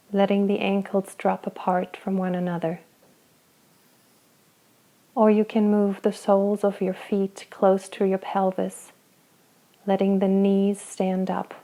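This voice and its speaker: A woman guiding a body scan meditation, speaking slowly and gently with long pauses between phrases. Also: mid-range voice. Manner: slow, soft, gentle guidance with long pauses. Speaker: meditation guide.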